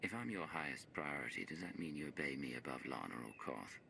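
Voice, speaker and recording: An actor playing a grave, authoritative hero in a video game, speaking directly and seriously. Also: male voice, very deep voice, big pitch swings, brisk, gravelly, sharp tone, a little hiss or hum, heavily acted. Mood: stern, commanding. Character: grave, commanding male rescued hero, measured and authoritative. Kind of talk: video game cutscene voice acting.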